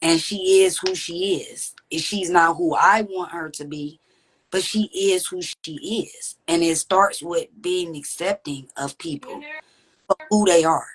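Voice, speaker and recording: A woman with a deep, raspy voice, speaking candidly and reflectively as a podcast guest. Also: measured pace, low-quality recording. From Texas. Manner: reflective, earnest, with frequent "you know what I'm saying". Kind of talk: candid conversational interview.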